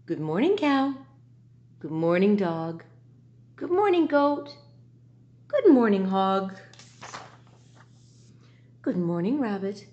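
A woman voicing an excitable woman farmer in a children's storybook, exclaiming in a sing-song, drawn-out way. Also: mid-range voice, lo-fi sound. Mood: cheerful greeting. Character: startled, cheerful woman farmer waking up. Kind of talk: storybook character reading for children.

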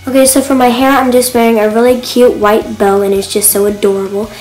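A woman's voice: fairly high pitch, level tone, a little fast, darkish tone, soft background music, low-quality recording. Chatty teen girl vlogging outdoors. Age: teens. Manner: bubbly, chatty, gushing. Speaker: fashion vlogger. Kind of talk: casual outfit vlog. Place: outdoors in a suburban front yard. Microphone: phone camera set on a mailbox.

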